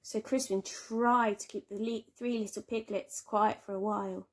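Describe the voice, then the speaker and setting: A woman teacher reading a children's storybook aloud with expressive, dramatic emphasis and drawn-out words. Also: mid-range voice. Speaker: primary school teacher.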